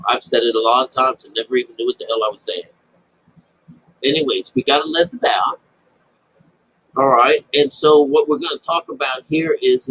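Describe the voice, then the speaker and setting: A man giving an informal spoken Bible commentary as an amateur teacher, rambling and halting, repeating phrases, with folksy, colloquial wording and the odd mild swear word. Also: medium pitch, fairly bright tone, breathy edge, rough recording.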